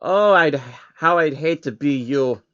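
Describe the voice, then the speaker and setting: A man voicing a menacing, condescending baron with a deliberate, sardonic manner for a story reading. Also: fairly high pitch, a bit bright, smooth, no echo, dull audio. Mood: sardonic, irritated.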